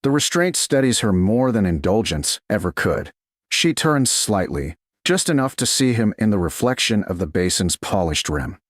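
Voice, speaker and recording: A man reading a slow-burn romance story aloud in a slow, hushed, deliberate manner. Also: fairly low pitch, big pitch swings, no room sound, clean studio sound. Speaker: narrator. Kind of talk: scripted romance narration.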